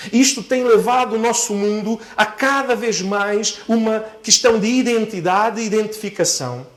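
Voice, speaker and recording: Male preacher, high-pitched voice, deliberate and emphatic sermon delivery with repeated phrases. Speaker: pastor preaching. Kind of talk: sermon to a church congregation.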